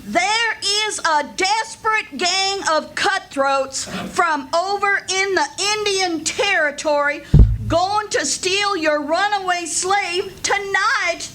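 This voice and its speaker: A woman playing an excitable, easily scandalised older country aunt in an old-time radio play, with dramatic exclamations. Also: very high voice. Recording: live stage recording with faint noise.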